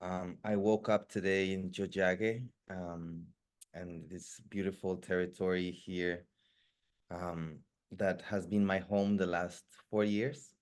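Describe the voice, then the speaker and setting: A man giving an informal talk as a guest speaker, reflective and hesitant, often pausing and repeating words. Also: low voice, level tone. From Ecuador. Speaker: guest speaker, music producer. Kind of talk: informal community talk presentation.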